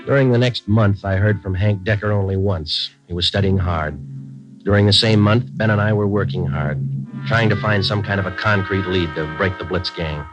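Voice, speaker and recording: An American actor playing a stoic police detective sergeant in a 1940s radio crime drama, speaking in terse, matter-of-fact, deadpan phrases. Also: male voice, deep voice, moderate pace, music in the background, lightly acted. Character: stoic, clipped police detective sergeant, narrating his cases. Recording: muffled old 1940s radio recording.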